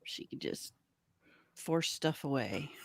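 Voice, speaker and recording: A woman's voice: extremely low pitch, expressive, slow, dry recording, studio quality. A woman co-hosting a live podcast, chatting casually and musing aloud, often trailing off mid-thought. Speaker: podcast co-host. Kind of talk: casual fan chat on a live stream.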